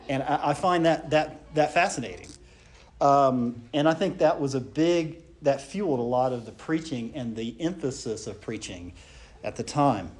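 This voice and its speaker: A man gives a relaxed church history lecture, with fillers, restarts and rhetorical questions. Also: mid-range voice.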